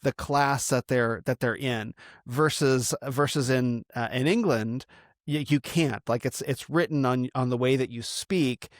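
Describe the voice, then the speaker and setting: A man's voice: medium pitch, measured pace, no room sound, clean studio sound. An American man hosting a podcast, talking casually and thoughtfully, repeating words as he thinks. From United States. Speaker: podcast host. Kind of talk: casual conversation.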